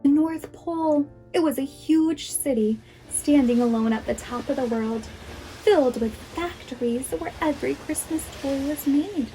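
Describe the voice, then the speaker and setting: A woman reads a children's storybook aloud at a very unhurried pace. Her voice is mellow and somewhat high, with light music behind. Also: low-quality recording. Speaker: guest storybook reader, preschool teacher. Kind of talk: children's picture book read-aloud.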